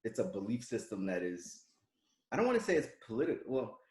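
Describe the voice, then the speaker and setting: A man's voice: lowish voice, wildly swooping pitch, a little fast, a little mellow, echoey room. An American man co-hosting a podcast, speaking casually, hunting for words and swearing now and then. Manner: searching for words, profane. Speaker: podcast co-host. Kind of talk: casual political chat.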